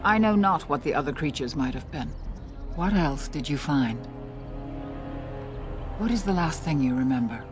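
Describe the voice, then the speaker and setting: A woman playing a grave, commanding older elf healer who leads her clan in a fantasy game, speaking formally and with measured solemnity. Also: deep voice, expressive. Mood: grave, questioning. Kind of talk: fantasy game dialogue acting. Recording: music behind the dialogue. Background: background music.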